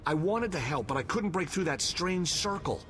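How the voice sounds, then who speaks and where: An actor playing an earnest, anxious young monster hunter in a fantasy game, eager and concerned. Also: male voice, fairly high pitch, lively intonation, normal speed, a little hiss or hum. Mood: worried. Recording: loud music over the dialogue.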